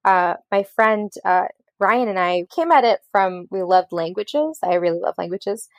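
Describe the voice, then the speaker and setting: A woman's voice: medium pitch, animated, a little slow, dry recording. A woman in her thirties from Colombia with an American accent, a podcast guest telling her story in a rambling way with many fillers and restarts. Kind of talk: casual storytelling interview.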